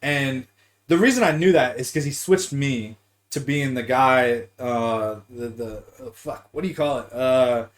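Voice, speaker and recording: A man's voice: medium pitch, measured pace. A man hosting a casual gaming podcast, chatting in a slangy, profane, teasing way. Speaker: podcast host.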